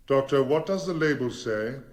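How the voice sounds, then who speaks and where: An actor playing a formidable English defence barrister in a radio drama, commanding, deliberate and rhetorical. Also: male voice, medium pitch, lively intonation, natural voice. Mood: probing, firm.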